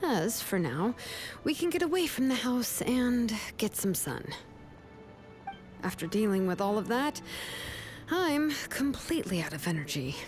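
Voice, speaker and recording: An actress playing a calm, confident woman in a video game, speaking in a measured, earnest way. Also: a little high. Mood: relaxed. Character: calm, firm adult woman, confident leader. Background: faint game music.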